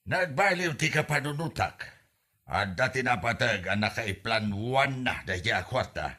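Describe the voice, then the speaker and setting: A greedy, shifty village captain acted by a man from an Ilocano comedy drama podcast. His mid-pitched voice is sharp and forceful, with an Ilocano accent. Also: animated, a little slow. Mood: defiant. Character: shifty, money-grubbing male village captain.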